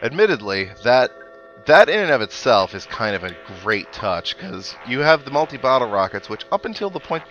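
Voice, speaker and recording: A man's voice: medium pitch, very animated, moderate pace, a bit bright, highs cut off. A man giving casual video game commentary, thinking aloud with analytical asides as he plays. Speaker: let's player. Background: video game music and sound effects.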